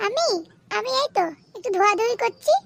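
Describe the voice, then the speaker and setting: A woman with a West Bengal accent voicing a naive, coy, demanding young woman in an adult comedy cartoon, with a squeaky, exclamatory delivery. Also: animated, fairly quick, gravelly, heavily acted. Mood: chirpy.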